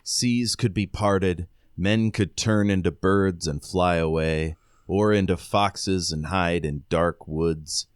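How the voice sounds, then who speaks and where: Low, smooth-voiced man chatting about books. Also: fairly even intonation, slow pace, no room sound, studio quality. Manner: informal, conversational. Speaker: podcast co-host. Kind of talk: casual book discussion, recapping and quoting.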